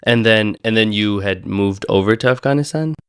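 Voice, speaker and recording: A man's voice: fairly low pitch, moderate pace, clean tone, no echo, studio quality. A man hosting a conversational podcast interview, asking open-ended questions in a reflective manner. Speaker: podcast host. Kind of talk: conversational long-form interview.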